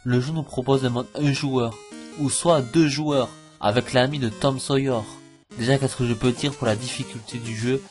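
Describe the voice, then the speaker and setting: A man records an informal voice-over reviewing a cartoon. He speaks in a jokey, slangy, mocking way at a medium pitch, with faint music behind him. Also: fairly even intonation, normal speed, a little mellow. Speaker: video host.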